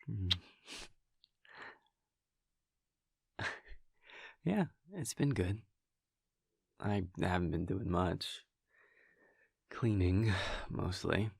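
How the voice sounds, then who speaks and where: Guy playing a playful vampire boyfriend, soft husky almost whispery voice, pitch moves around a lot, teasing roleplay audio. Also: no echo, clean studio sound, exaggerated voice. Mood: relaxed, affectionate. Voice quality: breathy, gravelly, often dropping near a whisper. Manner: wry, teasing, hesitant, chuckling casual delivery. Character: playful affectionate male vampire boyfriend at home. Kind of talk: intimate boyfriend audio roleplay, casual chat.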